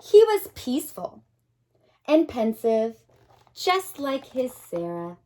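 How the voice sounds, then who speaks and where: Very animated, high-pitched woman reading a story. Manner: animated, dramatic pauses, gasps and asides to young listeners. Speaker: teacher reading a picture book to children. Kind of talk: expressive children's story read-aloud.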